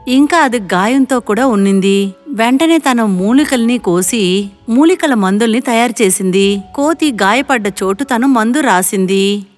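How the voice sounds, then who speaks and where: A woman's voice: fairly high pitch, animated, a little slow. Woman narrating a story over music.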